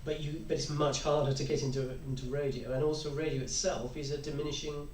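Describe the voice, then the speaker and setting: Man, panel discussion, halting emphatic delivery, echoey room, mic far off, faint noise. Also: a little high, little pitch movement, a little mellow. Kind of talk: panel discussion, opinionated explaining. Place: live convention panel room.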